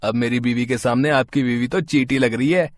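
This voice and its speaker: A man's voice: medium pitch, steady tone, fairly quick, dry recording, close to an everyday voice. A man playing a boastful young younger brother who teases and gloats in a family story. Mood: gloating. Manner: teasing, gloating.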